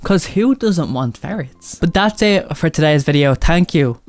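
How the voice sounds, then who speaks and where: A man's voice: on the high side, expressive, a little slow. Man, casual commentary, blunt, mocking, swears freely, faint background music. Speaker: commentary YouTuber.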